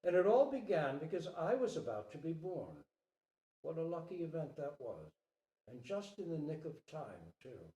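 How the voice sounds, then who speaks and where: A man's voice: fairly high pitch, very animated, dark tone. A man voicing an innocent, wondering young fawn. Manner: slow, wondering. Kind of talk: dramatic storybook reading. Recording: roomy echo, distant microphone.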